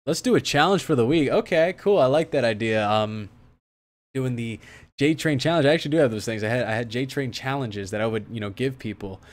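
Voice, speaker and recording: A man's voice: mid-range voice, a little fast. Rambling young man on a livestream. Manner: rambling, many restarts and fillers; turns emphatic when setting a challenge. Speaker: fitness streamer host. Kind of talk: casual livestream chat answering viewers.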